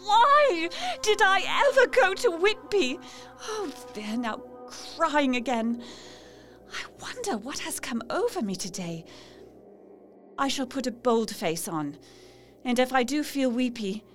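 A young Victorian wife, narrates her diary in a high, wistful voice. She is anxious and melancholy, measured yet emotive. Faint music plays behind this audio drama monologue. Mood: distraught, then uneasy, hushed.

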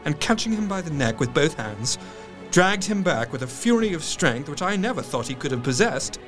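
An actor playing a sorrowful, earnest doctor who narrates a gothic tale in measured, literary phrases. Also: male voice, on the high side, very animated, over music. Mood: tense, grave. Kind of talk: dramatic scripted narration.

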